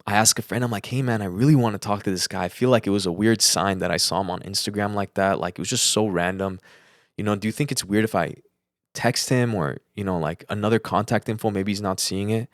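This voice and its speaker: A man's voice: lowish voice, quick pace, no echo, clean studio sound. A young man with an American accent telling a personal story casually on a podcast, acting out the conversations as he goes. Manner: animated retelling with acted-out quotes. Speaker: podcast co-host.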